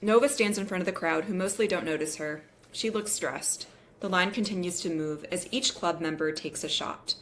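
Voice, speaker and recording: A woman's voice: on the low side, normal speed, a bit bright, slight background noise. A woman reading screenplay stage directions as narrator in scripted table-read style.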